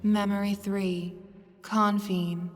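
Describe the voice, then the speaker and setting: A woman reading scripted, dreamy podcast narration in a measured, solemn manner. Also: mid-range voice, flat delivery. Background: loud music.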